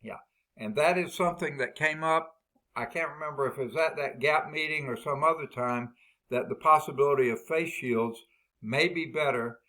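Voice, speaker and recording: A man with a loud voice, leading a math department staff meeting over a video call. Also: a little high, normal speed, rough recording. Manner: loud voice, talkative and explaining. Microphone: laptop built-in mic on a video call.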